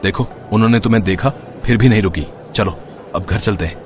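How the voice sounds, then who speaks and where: A man playing a calm, protective family man in a cartoon household drama, with a placating manner. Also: lowish voice, quick pace, a little hiss or hum, lightly acted.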